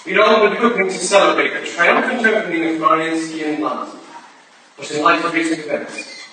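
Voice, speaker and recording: A solemn aristocrat, acted by a man delivers measured, formal oratory in a staged play. His voice is high and forceful, carrying from far off in an echoey room. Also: steady tone. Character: solemn aristocratic ballet patron addressing party guests. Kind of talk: staged reading of a period play, formal speech. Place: live theatre reading in a reverberant room. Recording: distant, very echoey room, faint noise.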